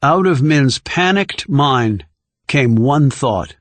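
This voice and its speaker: A man's voice: medium pitch, expressive, takes it slowly, no room sound. A man reading scripted thriller narration as an audiobook narrator.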